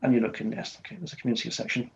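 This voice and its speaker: A man from Wales, a guest scholar talking in a relaxed interview, rambling with lots of restarts and fillers. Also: mid-range voice, very fast, creaky, dull audio, slight background noise. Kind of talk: conversational interview.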